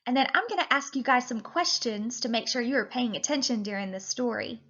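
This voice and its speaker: A woman in her thirties, a teacher reading and talking to children in an animated, deliberate way. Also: a little high, lo-fi sound. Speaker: teacher reading a picture book aloud to children. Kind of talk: expressive children's read-aloud and lesson.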